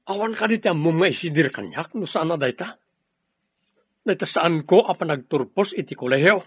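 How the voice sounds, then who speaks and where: A resentful Filipino kid brother in a radio drama, always bristling at nagging. His voice is high and husky, recorded dry and muffled. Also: lively intonation, moderate pace, dry recording. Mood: annoyed, resentful. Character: irritable, debt-ridden younger brother, resentful of nagging. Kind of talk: Ilocano radio drama acting.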